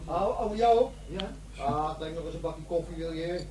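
An actor playing a jovial, chummy café regular in a radio play. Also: male voice, on the high side, moderate pace, natural voice. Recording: echoey café with chatter and clinking cups.

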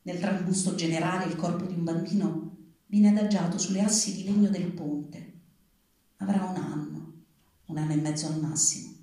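A woman's voice: fairly low pitch, warm tone. Woman reading solemnly in a very echoey room. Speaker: reader at a public literary reading. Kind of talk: literary reading aloud, grave narrative prose. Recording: very echoey room, distant from the mic.

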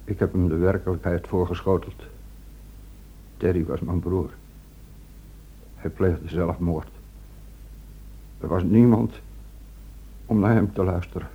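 A subdued police subordinate, speaks in a deep, dark voice. He sounds sombre and deferential in this radio drama, with faint noise on the recording. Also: fairly even intonation, a little slow, pauses often, low-quality recording. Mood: shaken, grieving.

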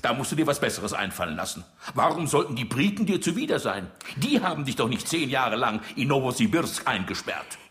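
An actor playing a Soviet dictator in a German radio drama, playful and mock-jovial with a probing, menacing edge. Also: male voice, medium pitch, big pitch swings, fairly quick, hardly any pauses, fairly bright tone.

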